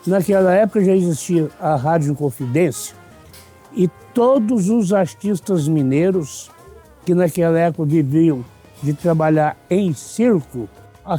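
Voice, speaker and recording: Older Brazilian man, high mellow voice. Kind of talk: reminiscing interview talk.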